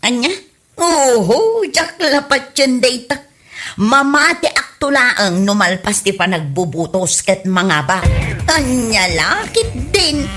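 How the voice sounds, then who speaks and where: A woman plays an opinionated friend who loves to gossip in a comedy audio drama. Her voice is fairly high and forceful, with a teasing tone. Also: sing-song, slow pace, faint music behind, heavily acted. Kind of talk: comedy radio drama acting.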